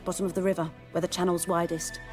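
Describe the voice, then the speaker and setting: An actress playing a cool, bitter and knowing village herbalist in a fantasy game, measured and wry. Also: female voice, fairly low pitch, level tone, natural voice. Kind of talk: video game voice acting. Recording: music behind the voice. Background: music.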